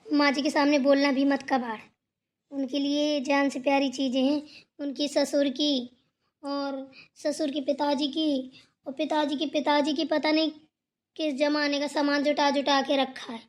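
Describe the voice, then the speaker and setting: A woman's voice: high-pitched, level tone, unhurried. A woman voicing a sensible elder sister-in-law who lectures and admonishes firmly in a family cartoon. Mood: cautioning. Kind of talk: cartoon family drama dialogue.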